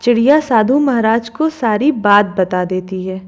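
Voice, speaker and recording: A woman's voice: a little high, expressive, measured pace, darkish tone, lo-fi sound, faint music behind. A woman narrating a children's cartoon story from a script.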